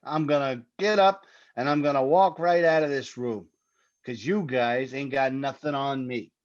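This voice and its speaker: An actor playing a cynical, streetwise suspect in a 1950s-style radio crime parody, defiant, sneering and slangy. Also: male voice, medium pitch, normal speed, muffled recording, lightly acted. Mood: scornful. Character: cocky, cynical male suspect, streetwise grumbler.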